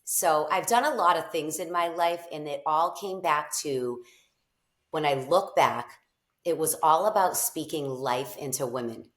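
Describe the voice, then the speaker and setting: A woman's voice: sits low, unhurried. A middle-aged woman from Boston with a strong Boston accent, talking as an interview guest in a bubbly, effusive way. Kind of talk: casual interview chat. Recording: echoey room.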